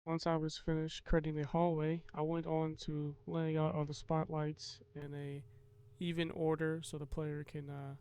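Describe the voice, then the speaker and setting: Flat-toned young-sounding man narrating, slightly high. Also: moderate pace, clear, resonant tone. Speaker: game developer and video host. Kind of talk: casual devlog narration.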